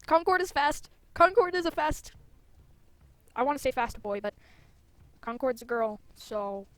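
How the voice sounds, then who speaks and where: High-pitched playful woman gaming host. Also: expressive, measured pace. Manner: playful, chatty, exclaiming. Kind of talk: casual gameplay commentary with read-out game dialogue.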